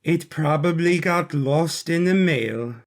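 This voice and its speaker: A man's voice: a little high, takes it slowly. A man playing a haughty, scheming princess in a fantasy audio story, with imperious manner and posh phrasing.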